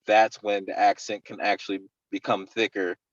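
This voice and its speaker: A man with a fairly low voice talks casually, in an agreeable and tentative way. He sounds like an actor in an online meeting, with dry, muffled audio. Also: little pitch movement, normal speed, dry recording. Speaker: actor at a table read. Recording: dull, close lo-fi call audio.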